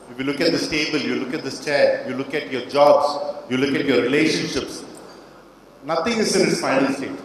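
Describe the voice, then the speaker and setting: A man on a panel talks earnestly into a handheld mic, pausing on "uh" as he explains his points. His voice rings through a large hall with long, roomy reverb and a faint hum of ambient noise behind him. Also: on the high side. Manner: earnest, explanatory, frequent "uh" fillers. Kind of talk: panel introduction.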